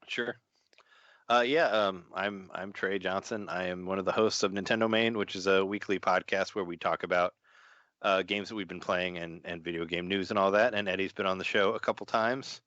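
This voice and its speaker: A man's voice: low voice, fairly even intonation, moderate pace, fairly bright tone, no room sound, lo-fi sound. A man chatting as a podcast guest, repeating words as he talks, his voice just getting over an illness. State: recovering from an illness, voice mostly back. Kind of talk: casual podcast chat.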